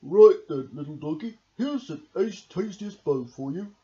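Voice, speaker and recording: A man's voice: on the high side, expressive, unhurried, warm tone, highs cut off, voice pushed up. A man voicing a friendly storybook butcher, exclaiming in an exaggerated way. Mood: cheery, hearty. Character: friendly butcher handing a dog a bone.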